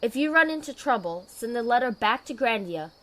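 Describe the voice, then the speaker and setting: A woman playing a dutiful, weary knight commander in an audio drama, speaking in a measured, authoritative way. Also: fairly high pitch, animated, sharp tone. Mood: businesslike.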